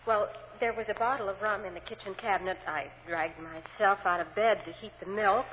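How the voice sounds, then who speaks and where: A woman's voice: medium pitch, brisk, close to an everyday voice. An aggrieved, self-pitying mother gives testimony played by a radio actress. She is plaintive and self-justifying, with hesitant phrasing in a 1950s drama style. The old recording is dull and reverberant, with faint noise.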